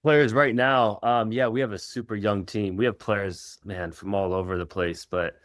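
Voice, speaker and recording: A middle-aged man who grew up in Israel, Kenya and the United States, answering interview questions in an informal, reflective, halting way as a coach. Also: on the low side, fairly even intonation, a bit bright, dry recording, studio quality. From Israel and Kenya, United States from age 13. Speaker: professional soccer coach.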